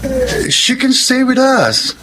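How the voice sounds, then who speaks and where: A man's voice: very high-pitched, sing-song, slow pace, background noise, full character voice. A man playing an earnest, persistent young boyfriend in a Filipino radio drama, with a Filipino accent. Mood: coaxing. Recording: loud music under the voice.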